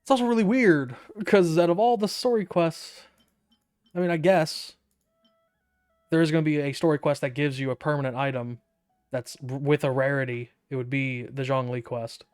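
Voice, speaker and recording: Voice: medium pitch, steady tone, normal speed, darkish tone, faint music behind. A gaming streamer giving casual, reactive commentary over gameplay in a sarcastic, irreverent way.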